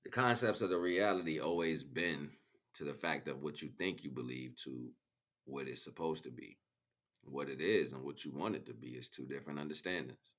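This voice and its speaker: A man's voice: extremely low pitch, moderate pace, a bit bright. A man giving an impromptu spiritual monologue as a teacher, speaking directly to the listener and repeating phrases for emphasis.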